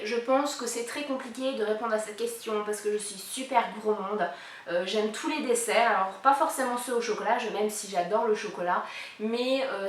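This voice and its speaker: A woman in her thirties hosting a casual vlog, talking chattily with many fillers as she answers a tag's questions. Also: mid-range voice. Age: 30s. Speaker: YouTuber presenting a collab channel. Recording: echoey room, mic a bit far.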